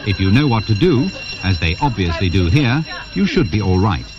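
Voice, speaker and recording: A British man in his fifties presenting a scripted instructional training film in a measured, instructive manner. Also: fairly low pitch, very animated. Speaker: presenter and narrator of a fire safety training film. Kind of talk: scripted instructional narration to viewer. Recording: muffled, noisy 1980s 16mm film soundtrack.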